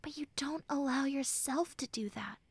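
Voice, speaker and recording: A woman playing a gruff tsundere friend who has turned tender and vulnerable, speaking softly and earnestly in an intimate roleplay audio. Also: high-pitched, moderate pace, no room sound. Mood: vulnerable, confiding. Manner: earnest, hesitant, soft, often dropping to breathy near-whispers.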